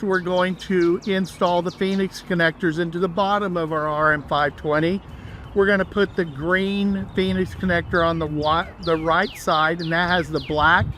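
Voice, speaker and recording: Male instructor, slightly high voice, plain step-by-step hands-on walkthrough, faint outdoor background noise. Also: a bit bright.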